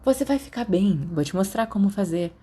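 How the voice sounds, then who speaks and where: A woman's voice: medium pitch, animated, a little fast, mellow, breathy edge. A woman with a Brazilian accent voicing a cocky, playful teenage wizard schoolboy in an audiobook. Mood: casual. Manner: teasing, boastful.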